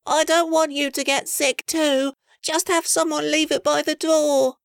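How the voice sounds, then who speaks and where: Woman reading as a fussy sick boy prince, high and slow, gravelly, dry close sound. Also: level tone, no echo. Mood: weak, whiny. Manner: weak, drawn-out whine.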